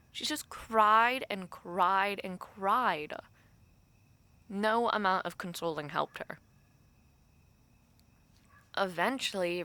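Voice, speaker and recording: A woman acting as a young university dormmate in an audio roleplay, gossipy and teasing. Her voice is bright and crisp-edged, recorded dry and close. She talks casually and conversationally, like late-night chat with a close friend, with filler words and pauses. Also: mid-range voice, no room sound.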